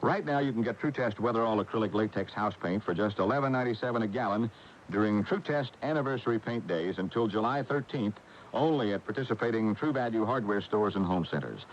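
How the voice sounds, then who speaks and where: A man's voice: on the low side, expressive, fast. An American man reading a scripted retail advertisement as a radio announcer. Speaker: commercial announcer. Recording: muffled, hissy AM radio broadcast tape.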